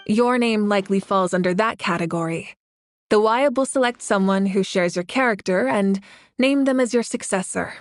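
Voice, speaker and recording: An actress playing a noble woman leader in a video game, speaking in a measured, formal, assured way. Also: mid-range voice, dry recording, studio quality, close to an everyday voice. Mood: calm, explanatory. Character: noble warrior woman leader, composed, dutiful, gracious. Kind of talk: video game character dialogue. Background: background music.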